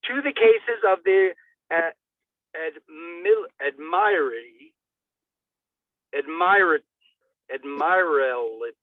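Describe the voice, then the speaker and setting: A man's voice: fairly high pitch, lively intonation, slow, pauses often, dry recording. A man giving a hammy, theatrical dramatic reading, stumbling over words and dropping in comic asides. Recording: thin phone-like band-limited sound.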